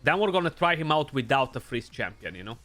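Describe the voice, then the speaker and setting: A young man with a Romanian accent talks through a game match in a casual, thinking-aloud way. His voice is bright and forward, at a middle pitch for a man. Faint music plays under him. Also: big pitch swings, quick pace. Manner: casual, thinking aloud, frequent "you know". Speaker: gaming YouTuber. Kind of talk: gameplay commentary.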